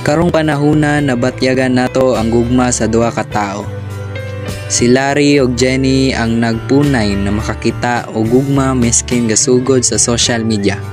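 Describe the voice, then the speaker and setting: A man's voice: mid-range voice, fairly even intonation, moderate pace. Filipino man, radio DJ presenting, mid-range voice, prominent music bed underneath. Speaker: radio drama host and DJ.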